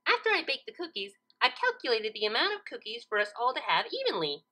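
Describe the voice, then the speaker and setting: A woman voicing a cheerful, scatterbrained young female cartoon pony with a giggly, excitable, breezily confident manner. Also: on the high side, fast, pitched above the performer's own voice, full character voice.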